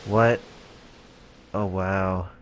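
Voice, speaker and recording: A man's voice: low-pitched, steady tone, very slow, a little hiss or hum. A man reading visual novel narration aloud as a let's play host, with casual asides. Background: game music.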